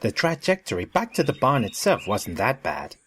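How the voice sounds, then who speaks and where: A man's voice: mid-range voice, wildly swooping pitch, moderate pace, fairly bright tone, husky. A man narrating a scripted story in a storybook style.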